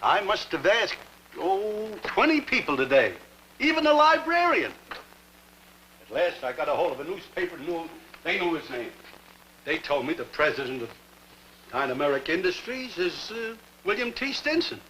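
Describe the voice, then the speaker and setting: A gentle, high-voiced grandfather. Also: big pitch swings, moderate pace, a little hiss or hum, exaggerated voice, low-quality recording. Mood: wondering. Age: older. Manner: mild, polite, wondering. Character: gentle, wistful old grandfather. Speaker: grandfather forced to retire. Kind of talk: 1950s television drama acting.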